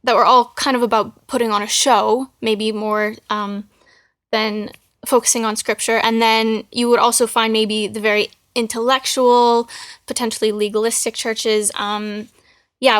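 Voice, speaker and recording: A young Canadian woman talks with a fairly high voice, casual and relaxed. She fills her sentences with ums, likes and yeahs as she tells her story on a podcast. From Manitoba, Canada. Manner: easygoing, lots of ums and likes. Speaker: podcast co-host. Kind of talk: casual faith podcast chat.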